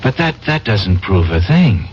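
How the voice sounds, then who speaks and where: An actor playing a skeptical, wary male reporter who questions haltingly in a 1970s radio drama. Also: on the low side, big pitch swings. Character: wary, skeptical unemployed reporter framed for murder. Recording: muffled, noisy old radio drama recording.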